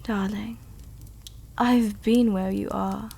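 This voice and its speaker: Slow, soothing vampire countess played by a woman. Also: mid-range voice, fairly even intonation, creaky, warm tone, husky, exaggerated voice. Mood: soothing, reassuring. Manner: slow, soothing, tender, teasing delivery. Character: seductive, protective noblewoman vampire countess, soft-dominant and comforting. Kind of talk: intimate ASMR roleplay, scripted character acting.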